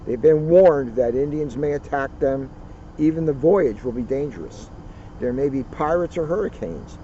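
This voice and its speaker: A man reading a children's history book aloud outdoors, with deliberate, dramatic phrasing and casual asides. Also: medium pitch, warm tone. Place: outdoors at a turkey farm. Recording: muffled outdoor recording, faint vehicle noise.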